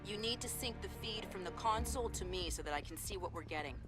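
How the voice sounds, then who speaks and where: A woman playing a brisk, businesslike intelligence handler in a video game, giving clipped instructions. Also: medium pitch, animated, music in the background, lightly acted. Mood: focused, commanding.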